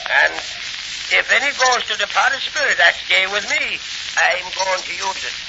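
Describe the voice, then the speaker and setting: A cocky tough-guy sidekick in a vintage radio mystery. His voice is high and slangy, full of wisecracks, under loud noise on a dull old recording. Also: expressive, normal speed, creaky. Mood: cocky, joking. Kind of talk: 1940s radio mystery acting.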